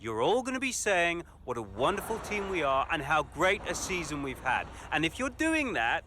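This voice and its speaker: An actor playing a cocky racing driver, talking in a smug, breezy, boastful way in a game cutscene interview. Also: male voice, on the high side, very animated, normal speed, pushed and bright, slight background noise. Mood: self-assured. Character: cocky, self-satisfied male Formula One racing driver.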